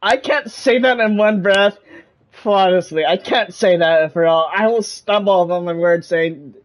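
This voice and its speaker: A woman's voice: lowish voice, a little slow. A woman streaming a game with casual, chatty commentary. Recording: game music behind the voice.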